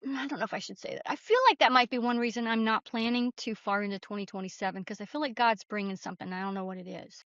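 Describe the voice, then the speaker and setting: Bright-voiced woman author, chatty, slightly muffled recording. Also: a little high, fairly even intonation, quick pace, hardly any pauses, dry recording. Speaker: author, interview guest. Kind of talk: casual conversational interview.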